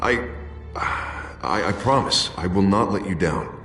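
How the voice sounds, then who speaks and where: A man's voice: deep voice, animated, takes it slowly, a bit bright, full character voice. A man playing an idealistic, vulnerable politician in a video game, sincere and hesitant. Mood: earnest. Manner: hesitant, heartfelt. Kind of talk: dramatic video game acting. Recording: distant, echoey hall, faint music.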